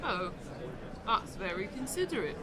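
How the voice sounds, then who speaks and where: A woman's voice: medium pitch, big pitch swings, measured pace, background noise. An actress playing a wary, skeptical young girl who talks in a guarded way.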